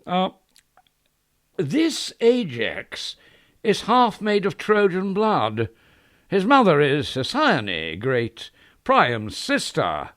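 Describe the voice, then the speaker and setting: A man's voice: medium pitch, a bit bright, exaggerated voice. A man playing a flamboyant, teasing Trojan herald, declaiming in a Shakespearean audio drama.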